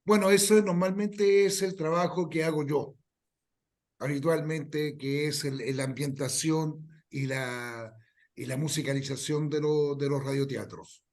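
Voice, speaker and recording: A man giving informal explanations in a halting, measured way, with some noise on the recording. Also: a little high, steady tone, a little mellow. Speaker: radio drama producer leading a workshop.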